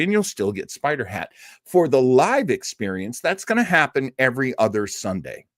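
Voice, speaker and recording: A man hosts a casual live stream with a very animated, mid-pitched voice. He rambles informally through asides and replies to his viewers. The sound is dry and close, with no room around it. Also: no echo. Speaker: host of a live-streamed radio nostalgia show. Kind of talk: casual chat, reading out and answering live viewer comments.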